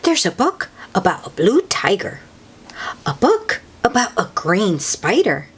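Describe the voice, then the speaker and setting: A woman reading a children's picture book aloud as an expressive storyteller. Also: mid-range voice, a little slow, slightly rough.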